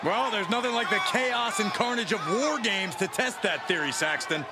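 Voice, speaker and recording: A man playing a grandiose, excited wrestling commentator in a video game, speaking over a loud arena crowd. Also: high voice, normal speed, gravelly, very noisy. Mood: dramatic.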